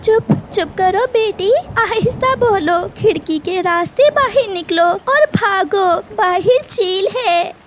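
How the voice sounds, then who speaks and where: A woman's voice: very high voice, animated, takes it slowly, few pauses, a little hiss or hum, pitched above the performer's own voice, exaggerated voice. A woman voicing a small, protective mother bird in a cartoon, plaintive, urgent and pleading. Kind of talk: Hindi cartoon character dialogue.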